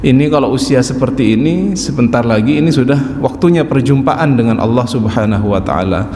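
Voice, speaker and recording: A man's voice: mid-range voice, fairly quick, slight background noise. Man teaching in an echoey mosque. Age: 40s. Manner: calm explaining, frequent affirming "ya". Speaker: religious teacher. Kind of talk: mosque study-circle lecture. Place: large mosque hall. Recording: echoey mosque hall with faint ambience.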